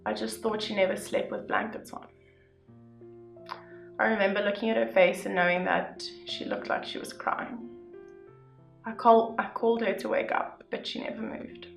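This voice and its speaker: A young woman reading a first-person story aloud in a steady, even way. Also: medium pitch, normal speed, gravelly. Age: young adult. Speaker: youth reader. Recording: echoey room with soft guitar music.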